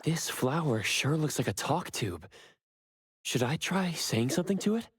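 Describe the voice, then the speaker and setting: A young male anime game adventurer. He has a rough-edged, husky voice with an easygoing, wry manner. He sounds curious, as if musing to himself as he explores. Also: a little high, big pitch swings, unhurried, no room sound, clean studio sound, full character voice. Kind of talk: video game voice acting.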